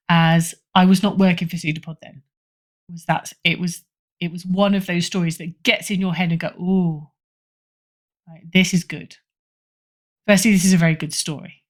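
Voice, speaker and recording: A British woman chatting casually as a podcast guest, often correcting herself and repeating phrases for emphasis. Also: mid-range voice. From Britain. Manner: hesitant, self-correcting, emphatic repetition. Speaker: podcast guest, horror fiction editor. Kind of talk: casual interview chat, recommending stories.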